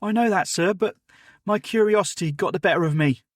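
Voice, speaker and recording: A man's voice: high voice, a little fast, no room sound, clean studio sound, pitched above the performer's own voice. A man voicing an eager, deferential young servant boy in a Victorian tale. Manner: earnest, deferential, keen.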